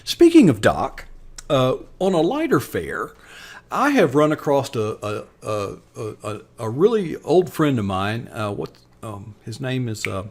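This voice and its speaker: Enthusiastic male host, halting. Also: medium pitch, huge pitch swings, a little mellow, a little hiss or hum. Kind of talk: live online show introduction and interview.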